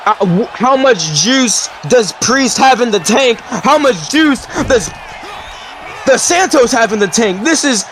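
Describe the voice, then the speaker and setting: A man giving wrestling color commentary, moving between steady analysis and shouted disbelief. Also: very high voice, slow. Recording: loud crowd and noise behind.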